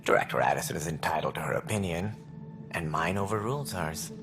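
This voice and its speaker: An actor playing a calculating, self-assured alien director in a video game, speaking in a measured, formal, condescending way. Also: male voice, sits low, animated, creaky, music in the background. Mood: authoritative. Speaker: director of a space initiative. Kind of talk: video game cutscene acting.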